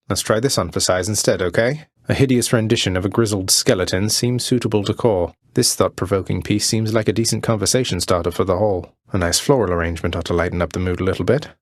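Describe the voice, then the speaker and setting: A man's voice: sits low, dry recording, studio quality. A man voicing a sardonic young underworld prince in a video game, with a wry, courtly, understated delivery. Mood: casually decisive. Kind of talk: scripted video game character lines.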